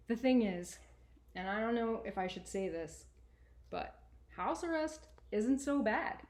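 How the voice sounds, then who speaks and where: An earnest, sarcastic boy read by a woman tells his own story in a storybook reading. The delivery is colloquial and emphatic, with exasperated stress on key words, at a middling pitch. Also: a little mellow, lightly acted. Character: sarcastic, earnest boy narrator with a baby brother. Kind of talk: expressive first-person storybook reading.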